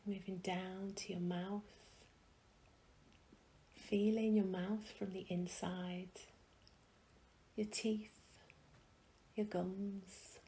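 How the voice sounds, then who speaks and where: Slow, soft woman guiding a meditation. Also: mid-range voice, little pitch movement. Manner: soft, soothing, gentle delivery with long pauses. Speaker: meditation guide. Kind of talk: guided meditation.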